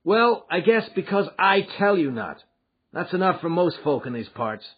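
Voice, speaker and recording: Guy doing a huge bossy american gang boss for an audiobook, raspy, loud and blunt, menacing, muffled recording. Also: sits high, very animated, normal speed, pitched above the performer's own voice, heavily acted. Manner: blunt, domineering, folksy threats. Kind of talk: audiobook character acting.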